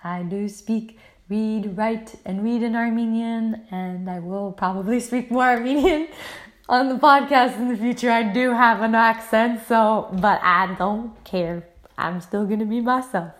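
A woman's voice: on the high side, measured pace. A woman from the United States hosting a solo podcast, speaking casually and earnestly in loose, affirming phrases. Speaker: podcast host.

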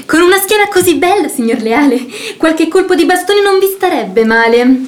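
Saucy maid, high voice, sarcastic and pert, classic radio play. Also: female voice, expressive, moderate pace. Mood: taunting. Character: cheeky, sharp-tongued young maidservant. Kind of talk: radio drama acting.

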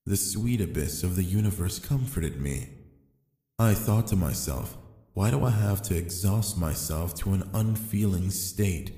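Long, echoing reverb surrounds a deep male voice. It is a tempting fallen angel played by a man in an ASMR roleplay, calm and sly. He speaks slowly and intimately, as if to one listener. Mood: wistful, confiding. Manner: slow, deliberate, addressing the listener intimately.

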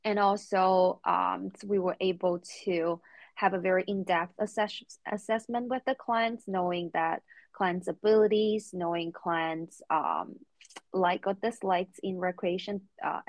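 Low-pitched young woman, English speaker. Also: steady tone, dry recording. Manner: halting, careful phrasing in non-native English. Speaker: podcast guest, recent therapy student. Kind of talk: reflective interview answers.

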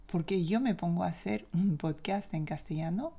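A woman with a French accent talks through her views as a language teacher on a solo podcast. Her voice sits a little low and sounds mellow and warm. She speaks in a measured, reflective way and states her opinions plainly. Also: vocal fry, husky. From France.

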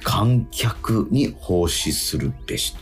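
A man hosting a casual radio talk, posing quiz questions, haltingly repeating himself and slowing down to stress key phrases. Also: lowish voice, animated, faint music behind.

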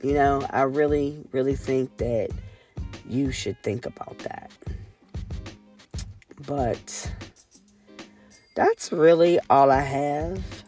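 A woman hosting her own podcast in a candid, casual monologue, her voice raspy and hoarse from a cold. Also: extremely low pitch, slow, long pauses, warm tone, soft background music. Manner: candid, earnest, swears casually. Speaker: podcast host.

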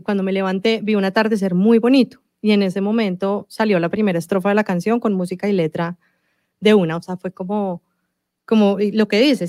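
A woman's voice: mid-range voice, darkish tone, no room sound. Chatty Colombian woman, dry sound. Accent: Colombian Spanish. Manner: chatty, many fillers and restarts. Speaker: singer-songwriter guest. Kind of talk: casual interview conversation.

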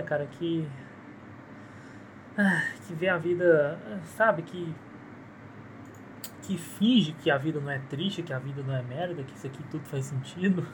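Brazilian man rambling cynically into a phone. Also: a little high. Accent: Brazilian Portuguese. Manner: rambling, cynical and profane, with self-mocking laughs. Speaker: podcast host. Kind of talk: casual solo podcast monologue. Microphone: phone held in one hand. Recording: noisy phone recording.

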